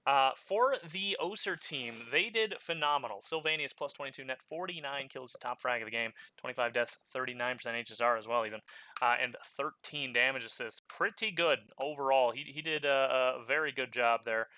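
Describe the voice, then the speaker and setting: A man whose native language is German, giving excitable live play-by-play commentary on a video game match. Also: medium pitch, fairly quick. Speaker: esports commentator.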